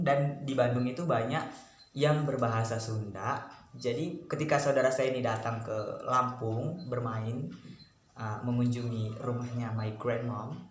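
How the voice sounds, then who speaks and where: A man language tutor from North Sumatra, explaining casually and listing his points one by one, dropping in English words. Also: mid-range voice. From Batak, North Sumatra, Indonesia. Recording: echoey room with faint noise.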